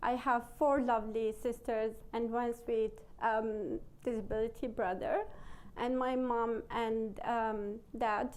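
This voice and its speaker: A woman with a Syrian Arabic accent speaks in a high voice, slowly and hesitantly. She pauses often on "uh" and "um" as she tells an audience about her own life, with her first language showing in her English. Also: a little mellow, clean tone. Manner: hesitant, halting English with many fillers. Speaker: panelist. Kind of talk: personal testimony to a live audience.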